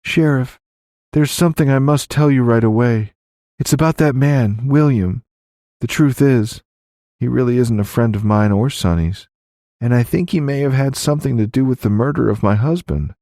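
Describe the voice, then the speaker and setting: A man's voice: medium pitch, moderate pace, mellow, no echo, clean studio sound, pitched above the performer's own voice, close to an everyday voice. A man voicing a young Old West widow, guarded and scheming, speaking politely and delicately in an audiobook story. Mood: anxious, tearful.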